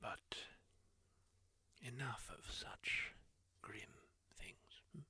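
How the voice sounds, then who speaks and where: A performer whispering in character as a kindly masked healer, slow and hushed, in an ASMR roleplay. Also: medium pitch, no room sound, exaggerated voice. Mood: soothing. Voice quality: whispered, gravelly and breathy. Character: kindly masked healer tending a wounded wanderer.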